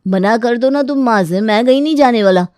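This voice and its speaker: A woman's voice: a little high, a little fast, darkish tone, clear, resonant tone, no echo, studio quality, close to an everyday voice. A woman voicing a reluctant, busy younger adult son who pleads and protests in a moral story. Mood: pleading protest. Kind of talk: acted dialogue in a moral story.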